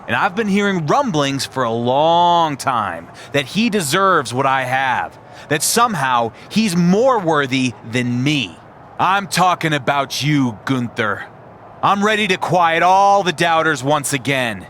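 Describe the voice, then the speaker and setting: A man's voice: on the high side, bright, forceful tone, a little hiss or hum, heavily acted. An actor playing a confident young male wrestling champion in a video game, with a defiant edge. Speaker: player character.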